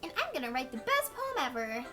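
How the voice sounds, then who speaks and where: A woman's voice: high voice, huge pitch swings, a little fast, over loud music. A woman voicing a cheerful, clumsy teenage schoolgirl in a visual novel game, sweet and bubbly.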